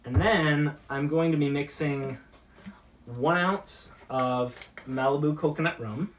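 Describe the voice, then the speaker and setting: A man's voice: a little high, takes it slowly. A chatty, enthusiastic man hosting a casual talk show, bantering as he mixes drinks. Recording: roomy, distant mic with noise and clicks.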